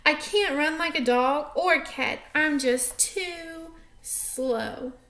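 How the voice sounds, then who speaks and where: A woman voicing a slow little female tortoise who talks plaintively to herself. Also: high voice, animated, fairly bright tone, exaggerated voice. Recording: echoey room.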